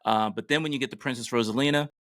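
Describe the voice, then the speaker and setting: A man's voice: medium pitch, rapid-fire, a bit bright, dry recording, studio quality. A middle-aged man co-hosting a podcast, giving casual, enthusiastic pop culture commentary in a conversational way. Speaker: podcast co-host.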